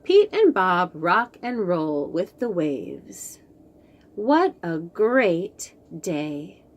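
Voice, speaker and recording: A woman reading a picture book aloud to young children, slow and expressive, then chatting about the books. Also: lowish voice. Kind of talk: read-aloud storytime for young kids.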